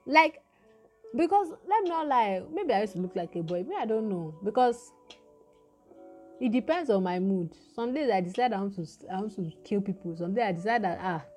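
Deep-voiced Nigerian young woman storyteller. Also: moderate pace, faint music behind. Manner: animated storytelling with quoted dialogue. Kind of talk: casual chat.

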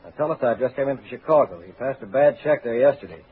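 An actor playing a brisk, businesslike FBI agent in clipped, matter-of-fact 1940s radio style. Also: male voice, medium pitch, expressive, crowd noise, lightly acted. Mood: brisk, alert. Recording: tinny 1940s radio recording.

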